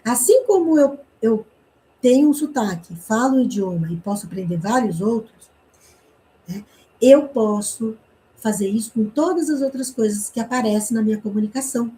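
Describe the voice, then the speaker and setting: Woman giving an online communication class, kinda high voice, mellow tone, keeps asking "né?". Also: lively intonation, moderate pace, poor audio. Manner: explanatory, with rhetorical questions and frequent "né?". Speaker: communication teacher.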